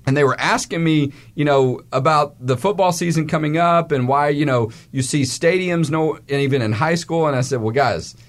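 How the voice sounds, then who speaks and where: A man's voice: on the high side, normal speed, few pauses. Chatty male talk show host. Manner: friendly, chatty, folksy. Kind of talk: casual studio interview. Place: radio studio. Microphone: studio mic.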